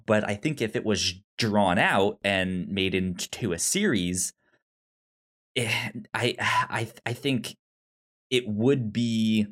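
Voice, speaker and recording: Slow, halting podcast co-host. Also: mid-range voice. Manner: halting, often repeats words and syllables. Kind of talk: casual film discussion.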